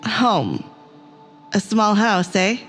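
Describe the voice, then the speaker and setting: A woman's voice: mid-range voice, very animated, extremely slow, fairly bright tone, faint music behind, exaggerated voice. A woman playing an anxious, headstrong academic on a space crew in an audio drama, sweary and argumentative. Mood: playful, wry.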